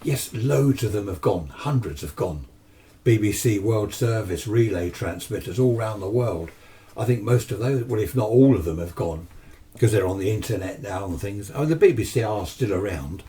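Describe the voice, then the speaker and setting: A man's voice: on the low side, expressive, moderate pace, warm tone, a little hiss or hum. A man in his seventies with a British accent chatting casually and enthusiastically about his hobby, rambling and answering his own questions, recorded on a distant microphone. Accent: British English. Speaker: amateur radio hobbyist presenter. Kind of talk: casual rambling chat to camera.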